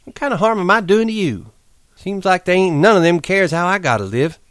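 A lonely, restless young wife on a ranch, as a man puts her on, her words tumbling out fast and pleading. The voice jumps far above the reader's own and rides a lively, swooping melody. It is recorded close and dry. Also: fairly high pitch, no room sound, pitched above the performer's own voice. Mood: aggrieved.

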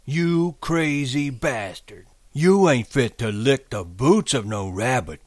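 A man voicing a scornful, foul-mouthed giant rabbit from a novel, taunting in drawn-out rural American dialect. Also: medium pitch, dry recording, studio quality, pitched above the performer's own voice, natural voice. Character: scornful, foul-mouthed gigantic imaginary rabbit, male-voiced.

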